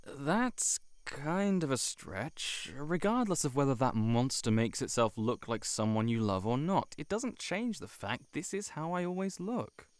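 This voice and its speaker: A man's voice: mid-range voice, expressive, smooth, no room sound, close to an everyday voice. A man playing a lovelorn shapeshifting monster posing as a friend in an intimate audio roleplay, speaking in an earnest, hesitant, pleading way. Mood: defensive, hurt. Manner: hesitant, halting, emotionally pleading.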